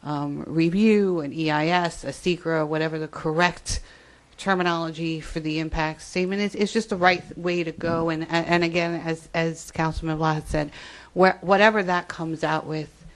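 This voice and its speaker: A woman on a town board giving her view at a public meeting, measured and pausing with ums. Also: sits low. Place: town hall meeting room.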